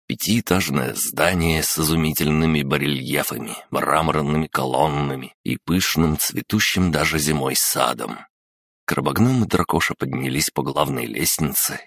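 A man's voice: a bass voice, gravelly, a bit bright, slightly rough, dry recording, studio quality. A man reading an audiobook aloud, narrating the story and giving the characters their lines himself. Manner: reads all characters himself.

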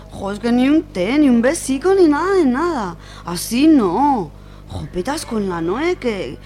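An indignant, rattled young woman in a comic radio serial, played by a woman. She talks in a dramatic, colloquial way with a fairly high voice and an accent from Spain, over faint noise. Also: darkish tone. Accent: Spanish, from Spain. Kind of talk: comedic radio serial acting.

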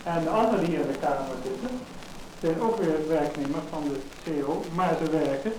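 Very echoey room, male speaker, slightly high voice, halting informal speech to colleagues, deliberate delivery. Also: a little mellow. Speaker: staff member giving a farewell speech. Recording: echoey, very noisy 1950s-60s disc recording.

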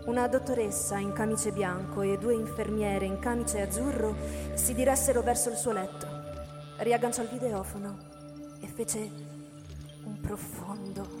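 Woman narrator over loud music. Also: medium pitch, steady tone, normal speed. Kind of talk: scripted literary narration for radio drama. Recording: long hall reverb under loud music.

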